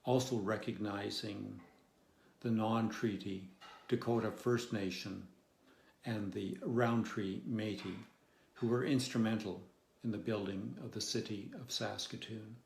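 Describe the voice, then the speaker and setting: A man reading a church welcome as congregational leader in a deliberate, solemn way, pausing between phrases. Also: lowish voice, fairly even intonation, vocal fry, darkish tone, a little hiss or hum. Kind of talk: measured church welcome, read aloud. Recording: roomy, echoing sound.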